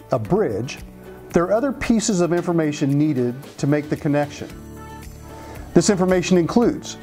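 Mellow American man presenting, music behind. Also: on the high side. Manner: measured, businesslike explaining. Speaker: company spokesperson. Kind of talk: scripted product presentation. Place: office conference room, indoors. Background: background music.

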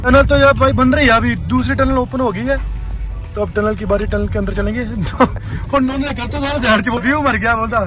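A man's voice: very high voice, moderate pace. Chatty young man vlogging from a car. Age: young adult. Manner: chatty, excited, addresses viewers as brother. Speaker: travel vlogger. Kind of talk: casual travel vlog chat. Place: inside a moving car on mountain roads. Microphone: phone camera held in car. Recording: music mixed behind the voice. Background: background music.

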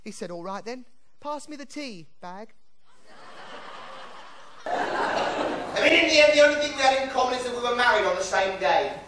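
A man's voice: very high voice, expressive, moderate pace, long pauses, heavy reverb. A man with an English accent playing a cheeky, poor widowed pantomime dame, delivering theatrical comic patter to an audience. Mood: comic grumbling. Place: theatre stage, recorded from the audience. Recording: distant noisy stage recording with audience.

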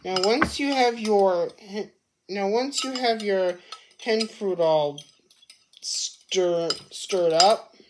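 A woman talks slowly through a home cooking demo, often restarting and echoing what she has just been told. Her voice sits at a middling pitch, with clear background hiss and kitchen clatter from the camera mic in a home kitchen. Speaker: cooking show host. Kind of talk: casual cooking demo, repeating instructions.

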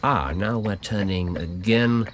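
An actor playing a cool, clever English gentleman detective in a radio drama, precise, clipped and dryly superior. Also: lowish voice, takes it slowly, a little hiss or hum. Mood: brisk, observant.

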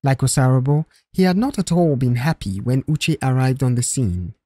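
A man reading scripted story narration in an expressive, careful storytelling manner. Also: mid-range voice, moderate pace, breathy edge, no echo, clean studio sound. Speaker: audiobook narrator.

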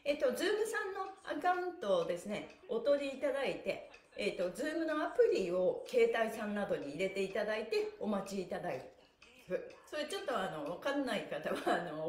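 A middle-aged woman hosting an upbeat live stretching lesson, encouraging and cheerful as she gives cues and chats to viewers. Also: medium pitch. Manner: animated, cheerful, encouraging, often laughing. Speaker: stretching instructor and pantomime teacher, live-stream host. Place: small bare room with wooden floor, indoors. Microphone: device mic a few steps away. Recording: echoey room, mic at a distance.

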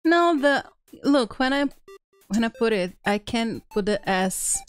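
A woman's voice: a little high, expressive, a little slow. A woman hosting a casual live game stream, playful and exclamatory, chatting with viewers and reading text aloud. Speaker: streamer, video game host. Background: faint video game music.

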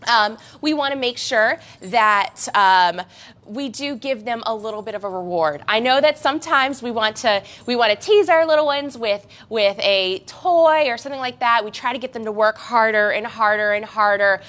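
A young woman teaching a parent and baby gym class in a coaxing, sing-song way, her voice swooping up high on playful words. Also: moderate pace, fairly bright tone. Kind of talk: instructional class for parents and babies.

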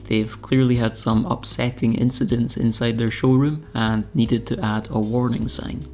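A man's voice: mid-range voice, steady tone, normal speed, darkish tone. A young man narrating a scripted video tour, with dry, wry humor. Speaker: YouTube channel host. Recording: voice-over with faint background music.

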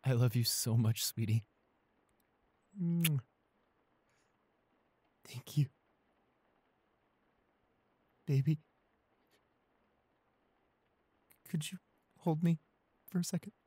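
A man playing a shy, anxious, devoted young boyfriend in an intimate roleplay audio, speaking softly and hesitantly with small stammers. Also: fairly high pitch, slow pace, pauses often, breathy edge. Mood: tender, grateful. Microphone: close studio condenser microphone.

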